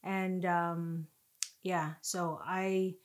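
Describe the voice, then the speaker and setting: A young woman vlogger telling a story in casual chat, animated and expressive, recorded on a sofa in a living room. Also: on the low side, very slow.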